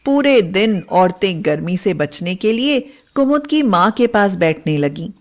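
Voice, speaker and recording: Slow-paced woman narrating a story. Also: mid-range voice, big pitch swings. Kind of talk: scripted moral-story narration.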